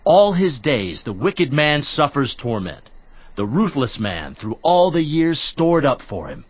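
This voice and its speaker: A man's voice: a little high, big pitch swings, unhurried. An actor playing a stern man who rebukes his friend with a string of reproachful, lecturing questions. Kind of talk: dramatized scripture reading. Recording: dry, slightly dull studio audio.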